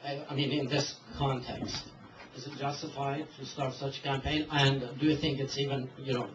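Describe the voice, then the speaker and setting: A man telling a story and then putting a question to a speaker, halting with many fillers and restarts. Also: medium pitch, level tone. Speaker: interviewer. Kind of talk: hesitant spoken question to a speaker. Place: event hall. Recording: distant mic, dull, roomy hall with hiss.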